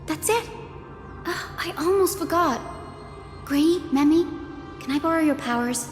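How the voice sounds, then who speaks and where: A woman playing a gentle, thoughtful young scholar in a game, calm and explanatory. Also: high-pitched, measured pace. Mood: encouraging. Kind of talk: game voice acting. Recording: reverberant, music behind.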